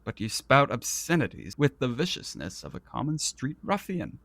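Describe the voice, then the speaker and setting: An actor playing an arrogant, vain and petulant prince in a fantasy audio roleplay, speaking in a pompous, theatrical and condescending manner. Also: male voice, medium pitch, wildly swooping pitch, moderate pace, creaky, a bit bright, full character voice. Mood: amused, condescending. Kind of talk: scripted ASMR roleplay audio drama.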